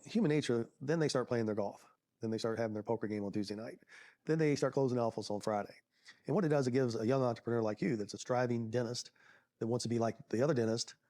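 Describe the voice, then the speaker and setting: Male entrepreneur type, folksy and plain-spoken, mellow tone, quick motivational talk. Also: medium pitch.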